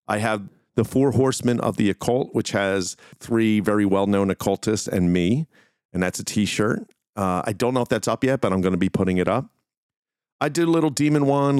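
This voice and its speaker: A man's voice: fairly low pitch, darkish tone, no echo, studio quality. A man hosting a podcast, talking casually and informally to his listeners in a rambling, conversational way. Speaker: podcast host. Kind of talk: casual solo talk to listeners.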